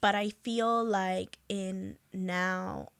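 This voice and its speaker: A woman hosting a podcast, talking casually in a slow, halting way with lots of fillers. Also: medium pitch, steady tone, vocal fry, fairly bright tone, smooth, no echo. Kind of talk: casual conversation.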